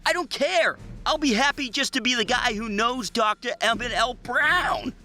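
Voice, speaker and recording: A man's voice: very high voice, normal speed, bright, forceful tone, soft background music, full character voice. A man voicing a plucky, nervous teenage boy in a motion comic, slangy, exclamatory and prone to stammering. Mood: eager, excited. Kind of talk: animated character acting for a motion comic.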